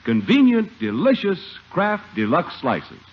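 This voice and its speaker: A man's voice: high voice, wildly swooping pitch, a little slow. A man announcing in a 1950s radio style, with a polished, emphatic sales delivery. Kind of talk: 1950s radio advert and scripted narration. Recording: old, dull 1950 radio broadcast with music.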